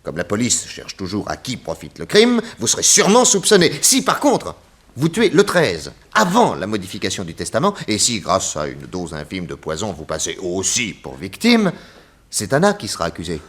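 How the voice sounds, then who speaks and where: A man's voice: low voice, wildly swooping pitch, normal speed, husky, heavily acted. A man playing a confident detective in a 1960s French radio drama, speaking in a theatrical, emphatic, methodical way. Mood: relentless exposition. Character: confident male detective laying out an accusation.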